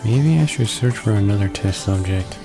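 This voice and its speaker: Low-voiced man reading game text haltingly. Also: expressive. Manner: halting, stumbles and repeats words. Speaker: let's player. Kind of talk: reading visual novel text aloud. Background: game background music.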